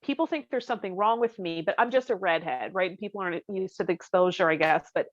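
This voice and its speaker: A woman's voice: lowish voice, fast, no room sound, dull audio. A woman co-hosting a podcast in casual comedic chat, with a giggly, self-deprecating manner. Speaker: podcast co-host.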